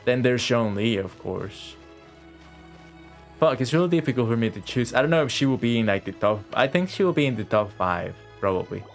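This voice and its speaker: A man giving casual live commentary as a game streamer, halting and often repeating his phrases. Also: mid-range voice, animated. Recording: game music behind the voice.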